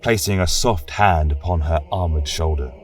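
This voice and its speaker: Male fantasy narrator: solemn, archaic wording, lowish pitch, very unhurried, soft music underneath. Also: distant mic. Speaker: host and storyteller.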